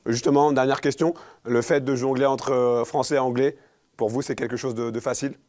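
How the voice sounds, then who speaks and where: Male TV host, quick and direct, mid-pitched voice. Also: steady tone, darkish tone. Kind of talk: interviewing a guest.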